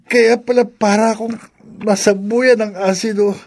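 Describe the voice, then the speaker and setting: An actress playing an anguished young character in a horror radio drama, speaking in a pained, sorrowful, hesitant way. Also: female voice, on the high side, fairly even intonation, normal speed, a little mellow. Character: anguished young person learning of own demon blood.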